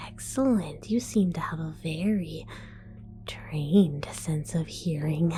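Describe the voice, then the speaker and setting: A woman voicing a scatterbrained female mad scientist in an ASMR roleplay, whispering softly, giggling and stammering as she falsely reassures. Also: sits low, slow pace. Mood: sly whisper. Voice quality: soft whisper.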